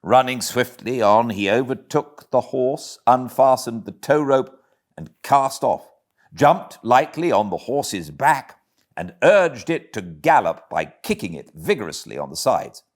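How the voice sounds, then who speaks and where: A British man narrating a classic storybook as an audiobook reader, with expressive delivery. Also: medium pitch, a little slow. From Britain.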